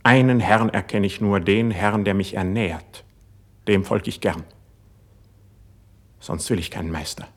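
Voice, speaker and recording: A man playing a young, proud, wounded poet in a classic verse radio drama, declaiming intensely with a breathy voice. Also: lowish voice, a little slow, long pauses. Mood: proud, defiant.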